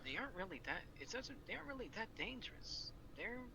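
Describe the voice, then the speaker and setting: A man's voice: sits high, very animated, fast talker, gravelly, crowd noise. A man chatting casually in character during an improvised online role-play game. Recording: noisy online game voice chat.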